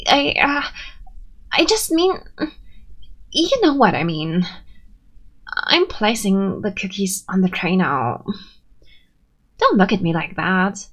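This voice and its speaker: A woman's voice: fairly low pitch, full character voice. A woman playing a bashful tsundere friend in an ASMR roleplay, abrasive yet sweet, with flustered hesitations and haughty denials. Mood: shy, flustered. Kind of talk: ASMR roleplay, acted intimate monologue.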